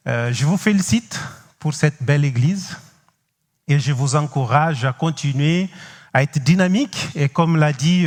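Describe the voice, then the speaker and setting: A man from Cape Verde with a Cape Verdean accent, French not his first language, preaching as a guest pastor, halting with many pauses and speaking straight to the congregation. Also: a little high, darkish tone, long reverb tail. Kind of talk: sermon. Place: large church hall.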